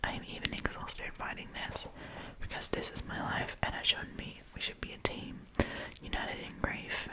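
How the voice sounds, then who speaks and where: A woman hosting an ASMR storytime, reading online stories aloud in a soft, breathy whisper. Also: normal speed, poor audio. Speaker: host of an ASMR storytime channel.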